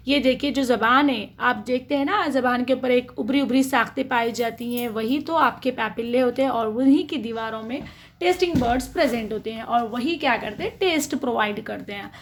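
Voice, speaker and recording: A woman with a high, light voice teaches a school biology class. She moves point by point, posing a question and then answering it, and checks in with the listener often. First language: Urdu. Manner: asks questions then answers them, frequent "theek hai". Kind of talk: explanatory science lesson.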